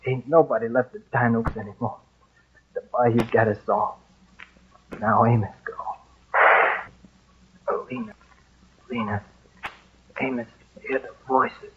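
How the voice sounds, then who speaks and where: An actor playing a grieving, vengeful servant on a bayou, with an American Southern accent and plaintive folksy dialect, in 1940s radio drama style. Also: male voice, medium pitch, animated, slow, pauses often, very noisy. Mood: mournful. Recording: dull, lo-fi old radio recording.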